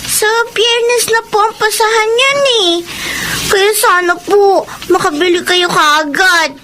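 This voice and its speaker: A woman's voice: very high voice, steady tone, takes it slowly. A woman playing a polite, eager elementary-school daughter in a Filipino radio drama, with a childlike manner. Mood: polite, hopeful. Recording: radio drama with background ambience noise.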